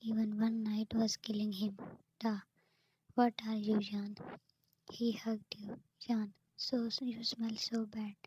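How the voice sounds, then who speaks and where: A woman's voice: fairly high pitch, slow pace, slight background noise, rough recording. A woman whispering her way through a second-person fan fiction story, reading the dialogue along with who speaks. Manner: soft steady whisper, reads dialogue with speaker tags. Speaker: narrator.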